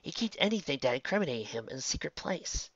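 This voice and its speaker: A narrator voicing a calm, studious female unicorn pony who leads the group in a composed, instructing way. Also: medium pitch, quick pace, husky, no echo, dull audio, full character voice. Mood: composed, commanding.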